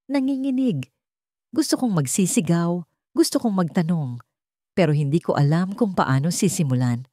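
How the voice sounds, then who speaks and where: A woman reading a scripted first-person sad love story in a dramatic, measured storytelling manner. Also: fairly low pitch, dark tone, no room sound, clean studio sound. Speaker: story narrator.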